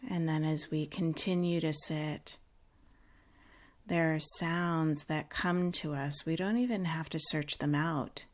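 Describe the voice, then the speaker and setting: A woman leading a guided meditation in a calm, unhurried, gently instructive way with long pauses. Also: deep voice.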